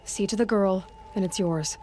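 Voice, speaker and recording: A woman's voice: mid-range voice, moderate pace, dark tone, soft background music, lightly acted. An actress playing a determined young warrior woman in a video game, terse and direct. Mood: firm. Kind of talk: cinematic video game acting.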